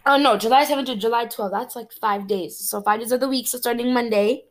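A woman's voice: a little high, fairly quick. A teenage girl from Cameroon hosting her own podcast, talking in a chatty, rambling, earnest way. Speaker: podcast host. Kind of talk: casual solo podcast chat.

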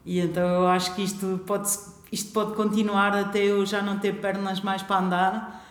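A woman from Portugal with a European Portuguese accent, a guest talking about her travels in a relaxed, thoughtful interview. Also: mid-range voice, moderate pace. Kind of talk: casual interview chat. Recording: echoey room with long reverb.

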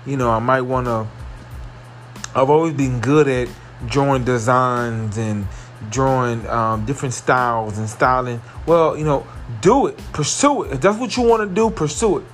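A man hosting his own podcast, talking earnestly and repetitively about positivity, with a carrying voice that rises into excited emphasis. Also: medium pitch, normal speed, faint music behind. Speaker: podcast host. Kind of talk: motivational solo podcast monologue.

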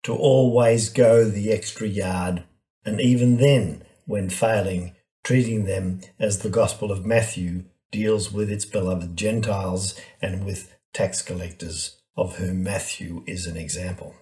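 A man gives a priest's reflective homily. He speaks very slowly in a lowish, mellow voice, setting out his points phrase by phrase. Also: fairly even intonation, poor audio.

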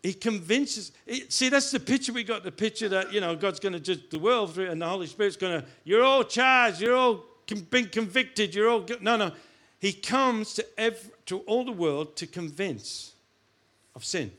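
A man's voice: sits high, animated, normal speed, bright, forceful tone. A middle-aged male pastor giving a conversational sermon, reading scripture and joking at his own expense, with frequent restarts and repeated words.

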